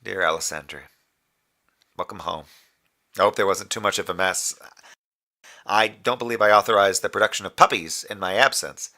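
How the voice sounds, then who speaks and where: An actor playing a dutiful, dryly sardonic moon base communications officer, reporting in a formal, measured way in a scripted audio drama. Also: male voice, sits low, pushed and bright. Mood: fond, wry.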